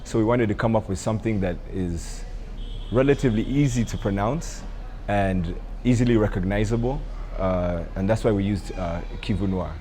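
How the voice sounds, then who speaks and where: A young man from Rwanda answering interview questions about his coffee business, pausing often with ums and uhs. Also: on the low side, warm tone, a little hiss or hum. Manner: hesitant, many ums and uhs. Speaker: coffee entrepreneur.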